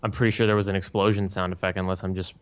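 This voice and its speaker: A man's voice: low-pitched, level tone, rapid-fire, smooth, dull audio. A man co-hosting a podcast, giving his opinions in casual review chat. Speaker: podcast co-host reviewing fan films.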